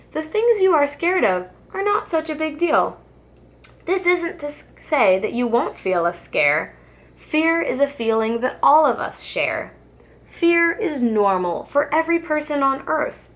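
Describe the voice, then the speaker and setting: A woman reading the part of a wise, reassuring father king in a rhyming children's storybook, earnest, measured and instructive. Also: on the high side, heavily acted. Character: wise, reassuring father king writing to his young son.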